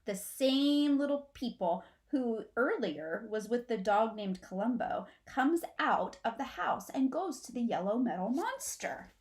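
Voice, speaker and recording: A woman's voice: a little high, lively intonation, measured pace, hardly any pauses. A middle-aged woman reads a children's storybook aloud like a teacher, expressively, as if to young listeners. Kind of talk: storybook read-aloud narration. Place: small furnished room indoors at home.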